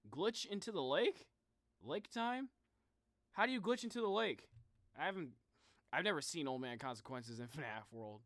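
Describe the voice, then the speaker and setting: Man, casual game streamer, higher-pitched voice, very lively intonation, slangy and reactive. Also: moderate pace, pauses often, no echo, clean studio sound. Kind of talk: casual live game commentary.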